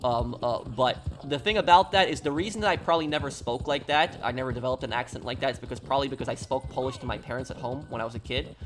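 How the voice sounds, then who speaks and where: An American man talks off the cuff as he streams a game, with a mid-pitched voice. He rambles and repeats himself, circling back to restart phrases. There is noticeable background noise from game ambience. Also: fast talker, few pauses, fairly bright tone. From New York, United States. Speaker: game livestreamer. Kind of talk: casual livestream chat while gaming.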